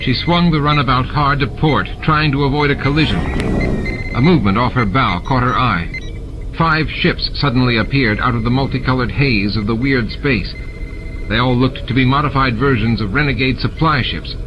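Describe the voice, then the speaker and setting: Male narrator for a dramatic sci-fi audiobook, medium-pitched, over prominent music and effects. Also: animated, normal speed, lo-fi sound. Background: music and sound effects.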